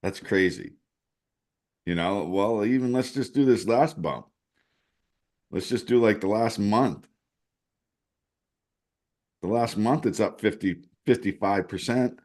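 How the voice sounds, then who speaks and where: A man's voice: on the low side, slow, long pauses, a little mellow. A man co-hosting a casual show, talking through charts and thinking aloud with many restarts. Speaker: co-host, chart analyst. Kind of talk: casual crypto chat over screen-shared charts.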